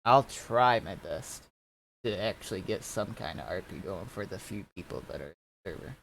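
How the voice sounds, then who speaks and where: A man's voice: low-pitched, animated, normal speed, gravelly, a bit bright, a little hiss or hum, low-quality recording. A man chatting casually over gameplay in a hesitant, rambling way, as a streamer would. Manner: rambling, hesitant, repeats himself. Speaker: streamer and server admin. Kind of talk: casual gameplay commentary and chat.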